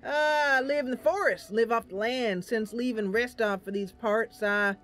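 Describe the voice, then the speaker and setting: A woman's voice: fairly high pitch, moderate pace, a bit bright, close to an everyday voice. A woman voicing an eccentric old male herbalist in a game roleplay, rambling in a folksy, theatrical way. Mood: folksy, musing. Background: game music.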